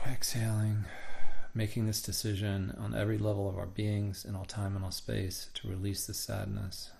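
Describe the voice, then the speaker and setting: A man guiding a meditation, speaking gently and unhurriedly in short phrases with frequent pauses. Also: fairly low pitch, completely flat delivery, vocal fry, slight background noise. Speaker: meditation guide. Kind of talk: guided meditation narration.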